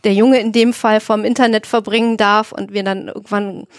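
Woman, mid-pitched, dry sound. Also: flat delivery, moderate pace, no room sound. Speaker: podcast co-host. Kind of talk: casual conversational podcast talk.

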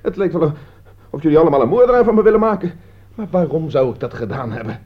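A man's voice: fairly high pitch, expressive, fast talker. A man acting in a radio play as a cornered young heir, defensive, protesting and flippantly mocking. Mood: scoffing, defensive. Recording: dull lo-fi radio recording.

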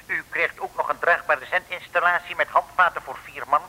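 A fussy, officious civil servant in a vintage comic radio play. His voice is highish and penetratingly bright, with a formal, pedantic delivery. It sounds like an old radio recording with a long reverb. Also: fairly even intonation, fairly quick. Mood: dryly officious. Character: pedantic, officious government supply clerk. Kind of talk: 1950s-60s Dutch comic radio play acting.

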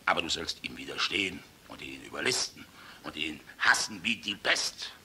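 A man's voice: rumbling low, very animated, normal speed, a little hiss or hum, full character voice. An actor in a German radio play voicing an old, world-weary, passionate general, blustering and fatherly in a rhetorical manner. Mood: grave, scornful. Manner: blustering, rhetorical, swinging from outbursts to hushed musing. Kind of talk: German radio drama acting.

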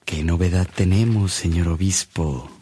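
An actor playing a matter-of-fact doctor in a radio drama, with a businesslike clinical manner. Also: male voice, low voice, a little slow, mellow, a little hiss or hum. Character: male doctor attending a sick bishop, matter-of-fact.

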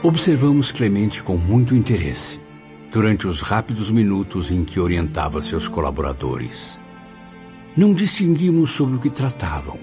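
An actor playing an earnest, inquisitive apprentice spirit in a radio drama, with formal, literary delivery. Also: male voice, fairly low pitch, sing-song, normal speed, a little mellow. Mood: admiring, reflective. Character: earnest, inquisitive male apprentice spirit recounting his memories. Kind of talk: radio drama acting, literary first-person narration. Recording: dull lo-fi audio. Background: clear background music.

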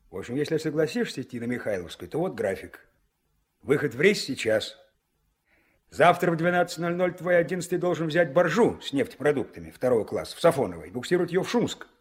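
Male Soviet dispatch boss, 1970s radio play: coaxing, husky, very animated, medium pitch. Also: brisk. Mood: serious, pressing. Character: genial but pressing male river-port dispatch chief. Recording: old mono radio play recording.

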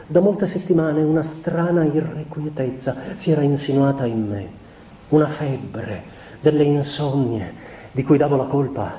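An actor playing an introspective, melancholy musician confessing to his wife, in a measured literary stage monologue with deliberate pauses. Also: medium pitch, dark tone, close to an everyday voice. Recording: roomy echoing stage sound.

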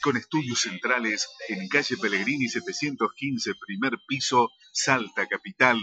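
A man's voice: mid-range voice, bright, forceful tone. A man reading a scripted radio station identification as the station announcer. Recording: dull broadcast sound over music. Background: music bed.

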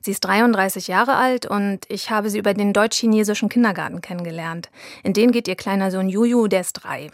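Documentary narrator, a woman, calm and even, dry studio sound. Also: medium pitch, gravelly, no echo. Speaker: reporter and narrator. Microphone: studio mic.

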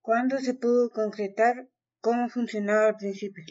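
Mellow Argentine woman interviewer, dull recording. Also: fairly high pitch, fairly even intonation, normal speed. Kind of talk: simple prepared interview questions.

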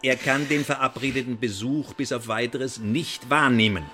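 An actor playing a suavely menacing French secret police agent in a German radio drama, speaking in a verbose, mock-polite way. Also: male voice, medium pitch, moderate pace, noisy background, lightly acted. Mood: coolly threatening.

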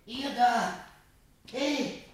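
A woman acting the anxious companion of a fugitive in a 1950s German radio drama, halting and dramatic. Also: on the high side, a little hiss or hum. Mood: urgent.

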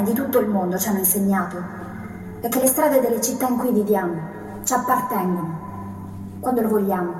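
A woman performs a grave, defiant narrator of protest on stage. Her delivery is solemn and declamatory, heard at a distance in a reverberant hall, with clear music playing underneath. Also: medium pitch, darkish tone, natural voice. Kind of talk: dramatic scripted theatrical monologue.

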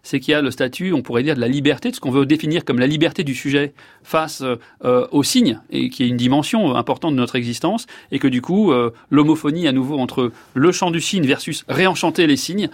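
A man's voice: mid-range voice, brisk, no echo. A man in his fifties, a neurologist guest, giving long reflective answers with many fillers and restarts. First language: French.

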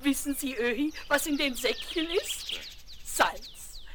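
A woman's voice: sits high, slow, fairly bright tone. A woman playing a gracious, delighted elderly grandmother, genteel and effusive, in a 1970s German children's radio play. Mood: amused. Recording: noisy 1970s vinyl rip with clicks.

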